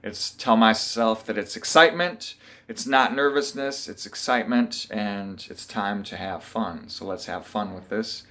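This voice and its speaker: Earnest man chatting to camera. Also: fairly low pitch. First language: English. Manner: relaxed, earnest, thinking aloud with pauses. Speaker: vlogger. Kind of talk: casual vlog talk to camera. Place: his room at home.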